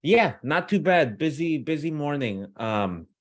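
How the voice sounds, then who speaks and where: A man's voice: on the high side, very animated, unhurried, dry recording, studio quality. A man from Peru who grew up partly in the US, talking casually and enthusiastically as a podcast guest over a video call on his own microphone. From Peru, moved to the US as a young child. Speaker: podcast guest, company founder. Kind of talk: casual conversational interview. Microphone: own external microphone on a video call.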